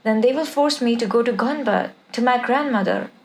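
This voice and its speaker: A woman's voice: on the high side, moderate pace, lightly acted. Woman acting an upset, pleading young niece. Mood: anxious. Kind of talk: screenplay table read.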